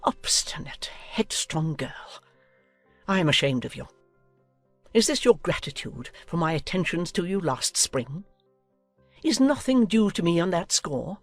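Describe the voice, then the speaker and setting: A woman's voice: fairly low pitch, sing-song, a little slow, no echo, heavily acted. Imperious older noblewoman acted by a woman, indignant and declamatory; dry period audiobook sound with music underneath. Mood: angry. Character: haughty, domineering older aristocratic lady, indignant and imperious. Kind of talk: dramatized audiobook acting.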